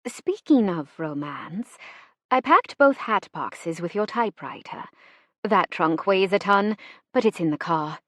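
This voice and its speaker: A woman voicing a supportive, practical young wartime sister in steady, reassuring audiobook dialogue. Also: mid-range voice, unhurried, dry recording, studio quality. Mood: brisk.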